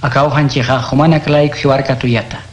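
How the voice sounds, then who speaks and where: Un hombre interpreta a Jesús enseñando con calma y tono instructivo en un radioteatro cristiano. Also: male voice, mid-range voice, brisk, lightly acted. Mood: teaching. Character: Jesus, calm adult male teacher. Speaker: voice actor. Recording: echoey room.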